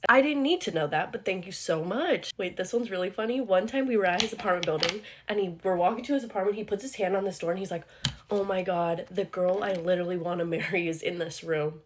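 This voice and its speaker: A young woman with a slightly low-pitched voice shares a personal story casually. She is candid and chatty, with a sarcastic edge to her asides. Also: quick pace, a little hiss or hum.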